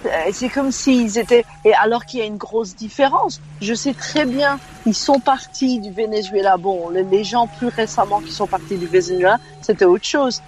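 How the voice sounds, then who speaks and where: A woman's voice: medium pitch, normal speed, hardly any pauses, darkish tone. A woman in her fifties from Belgium, calling into a radio show by phone and giving her opinions frankly, often restarting her sentences. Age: 50s. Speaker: radio caller. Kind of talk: call-in radio chat.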